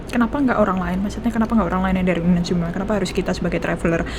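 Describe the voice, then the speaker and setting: A woman's voice: fairly low pitch, rapid-fire, background noise. A woman with an Indonesian accent giving casual let's play commentary, repeating herself and switching between two languages. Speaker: game streamer.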